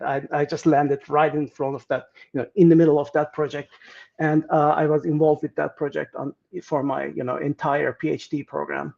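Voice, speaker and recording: A man's voice: on the high side, gravelly, darkish tone, dull audio. A man, answering interview questions with many fillers and restarts. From Turkey. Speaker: guest.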